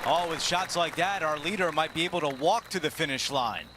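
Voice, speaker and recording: A man's voice: a little high, fairly quick, a crowd in the background, background noise, lightly acted. A man voicing a composed golf broadcast anchor in a video game, calling play as lead commentator. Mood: composed, upbeat.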